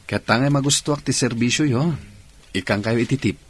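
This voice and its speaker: A man's voice: mid-range voice, moderate pace, slight background noise, lightly acted. A Filipino man acting a courteous, easygoing, mild man in a radio drama. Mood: appreciative.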